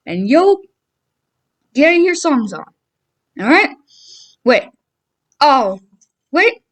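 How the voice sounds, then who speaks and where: A woman playing a chatty, upbeat morning radio host who introduces songs and talks casually to listeners. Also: high-pitched. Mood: distracted. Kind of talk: radio DJ patter.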